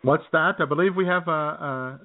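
A man's voice: fairly high pitch, moderate pace. A man co-hosting a comedy podcast in dry, sarcastic casual chat, heard through a tinny, phone-like recording. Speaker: podcast co-host.

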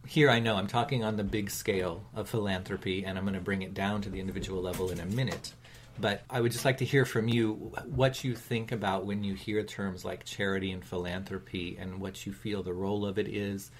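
A man hosting a talk show, explaining ideas in a deliberate, conversational way. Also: deep voice, a little hiss or hum. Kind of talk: conversational discussion.

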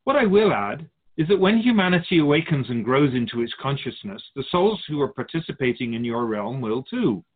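Man playing a serene soul keeper, animated pitch, phone-like. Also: brisk, few pauses. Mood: serene, knowing. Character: otherworldly Keeper of Souls, serene wise spiritual guide. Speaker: radio drama character. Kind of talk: radio drama dialogue. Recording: slightly muffled, phone-like narrow sound.

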